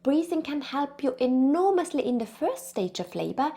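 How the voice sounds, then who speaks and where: A woman's voice: sits high, very animated, moderate pace, dark tone. A woman with an Austrian accent, presenting an educational video as a birth consultant, upbeat and encouraging.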